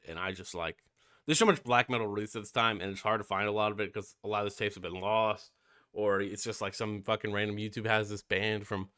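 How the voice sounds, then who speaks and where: A man's voice: lowish voice, sharp tone, clear, resonant tone, no room sound, clean studio sound. A young man with a stuffy, nasal voice from being sick, casually reviewing a metal album with lots of fillers. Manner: rambling, many fillers and swearing. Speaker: music reviewer.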